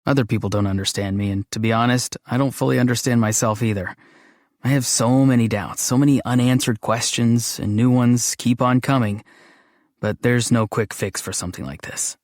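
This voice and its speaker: An actor voicing a gentle, odd, introverted young man in a video game, speaking in an earnest, hesitant, matter-of-fact way. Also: mid-range voice, steady tone, moderate pace, no echo, studio quality, lightly acted. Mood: pensive. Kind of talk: scripted game character lines.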